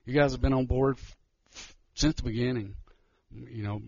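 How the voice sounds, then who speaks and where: A man's voice: medium pitch, creaky, darkish tone, husky, no echo, highs cut off. Nervous man giving testimony. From Georgia, US. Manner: nervous, halting, many restarts. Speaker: missionary. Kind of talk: church testimony talk.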